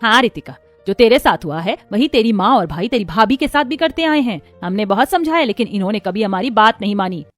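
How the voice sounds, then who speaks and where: A woman's voice: medium pitch, lively intonation, brisk, hardly any pauses, faint music behind, lightly acted. A woman playing an outspoken, caring middle-aged neighbourhood aunty in a cartoon family drama, speaking emphatically. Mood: indignant. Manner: admonishing, emphatic.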